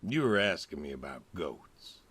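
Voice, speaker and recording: An actor playing a gentle, plainspoken older farmer in a video game, with a laconic, dry manner. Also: male voice, extremely low pitch, big pitch swings, normal speed, breathy edge, heavily acted. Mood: dry, matter-of-fact. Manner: laconic, dry, folksy, understated.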